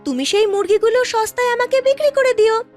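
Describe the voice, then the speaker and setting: A woman's voice: very high voice, fairly quick, faint music behind, pitched above the performer's own voice. A woman voicing an earnest young street seller in a village cartoon, polite and eager to explain.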